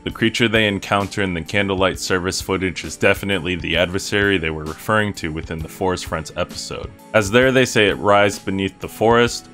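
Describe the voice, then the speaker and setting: Young male video essayist, low register, casual scripted read with a joking, irreverent edge. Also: brisk, few pauses, fairly bright tone. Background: music with guitar under the voice.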